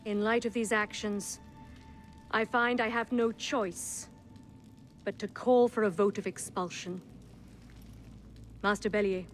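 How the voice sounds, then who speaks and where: A woman playing a stern, authoritative leader of an assassin council in a video game, speaking in a formal, measured, solemn way. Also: medium pitch, fairly even intonation, soft background music, lightly acted. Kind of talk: dramatic video game cutscene acting.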